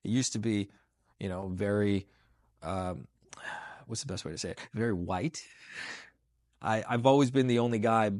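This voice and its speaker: A man's voice: lowish voice, moderate pace, long pauses, a little mellow, no room sound, clean studio sound. A man who once worked in casting, reflecting thoughtfully and searching for words. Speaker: former casting professional. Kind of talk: interview commentary.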